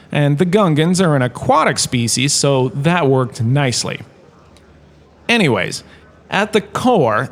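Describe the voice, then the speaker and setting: A man playing a smug washed-up star who lectures as a history professor, conversational and wry, in a comedic audio drama. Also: on the high side. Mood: smug, casual. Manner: conversational, self-satisfied, wry asides.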